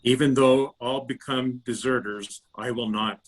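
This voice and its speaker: Man playing defensive, insistent disciple, scripture drama, medium-pitched voice. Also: steady tone, measured pace, natural voice. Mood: adamant.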